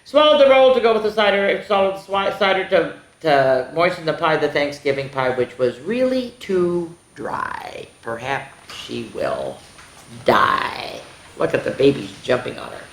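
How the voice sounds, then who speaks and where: A woman's voice: sits low, a little hiss or hum. A woman hosting a children's story time, reading a picture book aloud expressively, with exclamations and asides to the young listeners. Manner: expressive, exclaiming, pausing to comment and ask the listeners. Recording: echoey room.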